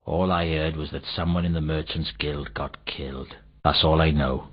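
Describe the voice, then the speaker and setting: Sarcastic grudging bartender npc, surly, slightly muffled old game audio. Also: male voice, rumbling low, steady tone, moderate pace, husky. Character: surly, sarcastic male bartender in fantasy noir. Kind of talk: scripted video game dialogue.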